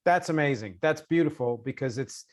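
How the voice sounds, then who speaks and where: A man's voice: medium pitch, animated, normal speed. A man hosting a music interview in a casual, reflective way, affirming and thoughtful as he talks. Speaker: interviewer and music show host.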